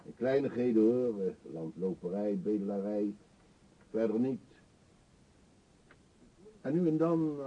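An actor in a radio play voicing a middle-aged male vagrant, self-pitying and confessional, with a husky, halting, theatrical delivery. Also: on the low side. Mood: bleak, resigned. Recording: dull lo-fi radio play recording.

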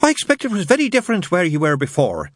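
Man putting on a high, gushing, flustered nun. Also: wildly swooping pitch, quick pace, no room sound, studio quality, exaggerated voice. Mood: eager small talk. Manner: gushing, wittering, eager. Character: chatty, flustered, naively cheerful English nun and nurse.

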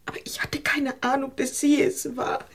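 A woman's voice: high voice, level tone, moderate pace, close to an everyday voice. An actress in a German radio play voicing a possessive, furious woman cornered as a murderer, theatrical and emphatic. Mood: anguished, possessive. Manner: theatrical, emphatic, breaking into desperate whispers.

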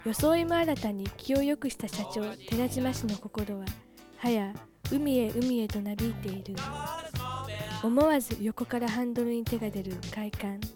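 A woman's voice: a little high, normal speed, close to an everyday voice. A woman playing a grandiose, theatrical narrator of a comic radio commercial in an amateur drama. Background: clear music.

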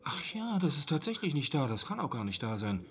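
Grumbling village innkeeper, very animated. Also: male voice, a little high, brisk, slightly rough, heavily acted. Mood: unbothered. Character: brusque, grumbling village innkeeper, chatty and brazenly unbothered. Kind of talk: German radio drama acting.

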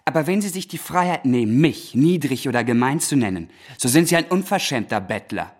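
A man's voice: medium pitch, animated, a little fast, close to an everyday voice. A young male actor playing a cocky, domineering older schoolboy in a radio drama, haughty, self-assured and patronising. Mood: insolent, angry.

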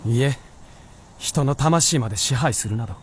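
A man acting as a stern but soft-hearted talking fox spirit in a radio drama, with a blunt, commanding way of speaking. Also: mid-range voice, normal speed, a little hiss or hum, lightly acted. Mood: deferential.

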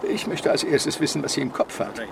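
An actor playing an erudite, anxious French police commandant in a radio crime drama, speaking precisely and fussily. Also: male voice, mid-range voice, sing-song, fairly quick, vocal fry. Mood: pedantic. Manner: precise, hesitant, correcting others. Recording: noisy vehicle and street ambience.

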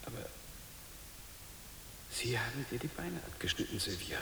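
A man playing a troubled middle-aged father in a radio drama, halting and repeating himself as he clings to hope. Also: medium pitch, animated, lightly acted. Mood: anxious. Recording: hissy, echoey reverberant hall.

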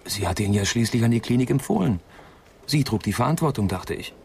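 An actor playing a troubled young man giving a halting, confessional account in a German radio crime drama, with a creaky voice. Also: mid-range voice, expressive, darkish tone. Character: distressed young man, witness worried about his sick friend. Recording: faint background noise and ambience.

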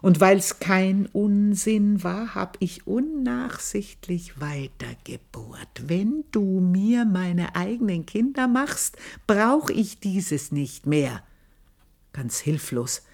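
An actress playing an aged maidservant, proud, bitter and passionate, who tells her story in a slow, intense radio play monologue and sometimes sinks to a hushed whisper. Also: mid-range voice, lively intonation, creaky, full character voice. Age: older. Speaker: old maidservant telling her life story.

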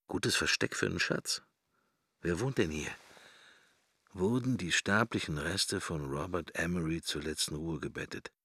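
Gravelly, low musing man. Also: measured pace, pushed and bright, dry recording, clean studio sound, exaggerated voice. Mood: morbid, brooding. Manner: musing in short, broken fragments. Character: pensive middle-aged man musing inwardly, wry and morbid. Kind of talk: German radio drama, interior monologue.

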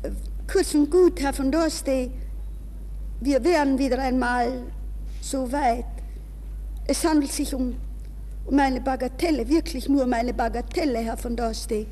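A woman's voice: sits high, measured pace, long pauses, mellow. An actress playing an anxious, proud young woman in a nervous, pleading manner, in the style of 1960s radio drama. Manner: breathless, nervous, shifting between polite speech and inner thoughts. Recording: reverberant, hissy 1960s radio recording.

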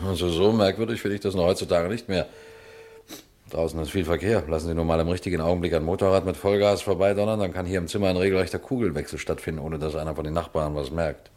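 Deep-voiced, measured detective inspector played by a man. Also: a little mellow, lightly acted. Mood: probing, matter-of-fact. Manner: matter-of-fact, measured, inquisitive. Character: composed, shrewd male police detective inspector telling his case. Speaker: narrator and lead actor of a radio play. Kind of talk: German radio crime play, first-person narration and dialogue.

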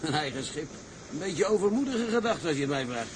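A man's voice: on the high side, sing-song, fairly quick, slight background noise, rough recording. A man playing a sober, sceptical ship's engineer in a seafaring drama, with a wry, down-to-earth manner. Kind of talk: dramatised seafaring story, scripted acting.

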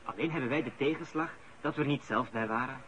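An actor playing a courteous, businesslike assistant detective in a radio play, with a placating manner. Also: male voice, mid-range voice, quick pace, lo-fi sound, crowd noise, natural voice.

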